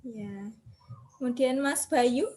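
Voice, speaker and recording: High-voiced woman instructor on a video call. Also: darkish tone. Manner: brisk, calls names one by one. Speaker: course instructor. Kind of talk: online class roll call.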